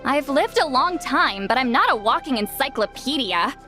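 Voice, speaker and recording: A haughty, long-lived shaman woman for a fantasy game. Her voice is high and swoops expressively, with clear music behind it. She sounds curt, boastful and easily exasperated. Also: lively intonation, normal speed, bright, forceful tone. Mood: irritated, curt. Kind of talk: video game character voice acting.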